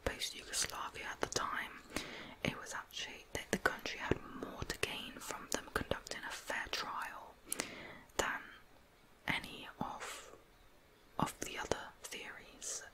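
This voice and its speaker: Woman, whispered voice, ASMR, true crime storytelling, soft-spoken, informal. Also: measured pace, rough recording. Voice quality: unvoiced whisper.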